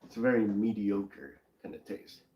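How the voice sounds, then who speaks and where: A man's voice: lowish voice, lively intonation, moderate pace, dull audio, slight background noise. A young man co-hosting a casual comic-book live stream in a laid-back, joking way. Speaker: co-host.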